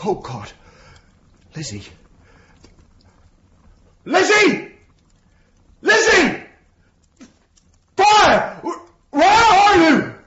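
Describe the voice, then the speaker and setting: High-strung, panicked manservant, strained high voice. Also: male voice, huge pitch swings, extremely slow, long pauses, bright, forceful tone, heavily acted. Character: frightened, urgent young manservant in a burning house. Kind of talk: intense radio drama acting. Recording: dull, roomy, noisy fire scene.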